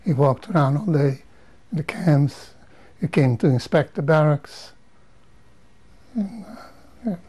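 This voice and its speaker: An older man from Romania, whose first languages are Hungarian, Romanian, Yiddish and Hebrew, giving a measured, halting interview testimony with frequent restarts. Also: a little high, animated, mellow, husky, poor audio.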